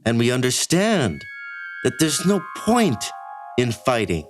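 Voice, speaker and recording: Man acting a brash, controlling commander, very varied pitch. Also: huge pitch swings. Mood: cold, commanding. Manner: clipped, deliberate, profane bossiness. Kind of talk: sci-fi audio drama acting. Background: music.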